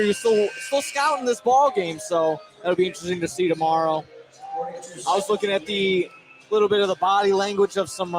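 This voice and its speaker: A man giving conversational color commentary on a live basketball game, in a halting way that circles back on phrases. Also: high voice, low-quality recording. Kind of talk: conversational live sports analysis. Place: high school gym.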